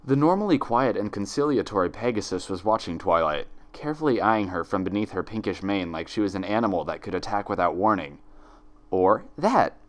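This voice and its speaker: A man narrating a story like a dramatic audiobook, in a theatrical, measured manner. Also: deep voice.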